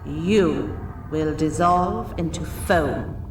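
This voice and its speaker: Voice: medium pitch, drawn-out words, distant mic, background noise. An actor voicing a scheming old female sea witch in a cartoon fairy tale, theatrical and sardonic, with a drawling delivery. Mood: menacing. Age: older. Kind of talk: animated fairy tale character acting. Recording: echoey, off-mic, music underneath.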